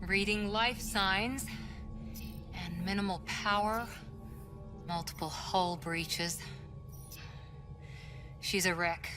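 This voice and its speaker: A woman's voice: medium pitch, slow pace, pauses often, natural voice. A woman playing an earnest, capable soldier and respectful second-in-command in a video game. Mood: focused. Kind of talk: video game cutscene acting. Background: loud game music.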